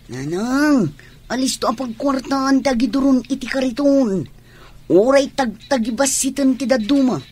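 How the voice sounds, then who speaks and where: A woman's voice: high voice, animated, normal speed, dark tone, slightly rough. A woman with an Ilocano accent playing a young daughter, earnest and pleading with her mother in a radio drama. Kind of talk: Filipino radio drama acting.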